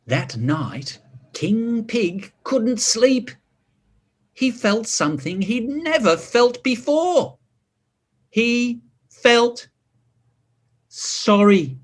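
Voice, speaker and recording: A man reading a picture book to children, expressive, drawing out words and adding chatty asides and questions. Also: very high voice, drawn-out words, pauses often. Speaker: story reader.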